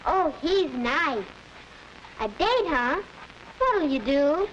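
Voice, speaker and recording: A young actress playing a curious, inquisitive little sister in a 1940s educational film. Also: female voice, high voice, sing-song, drawn-out words, a bit bright, full character voice. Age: child or young teen. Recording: muffled, noisy 1940s film soundtrack.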